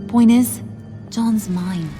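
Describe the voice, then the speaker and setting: A woman's voice: fairly high pitch, takes it slowly. An actress playing a bossy, possessive young female villain in a video game, taunting with playful menace. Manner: sing-song taunting, threatening. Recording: music under the voice.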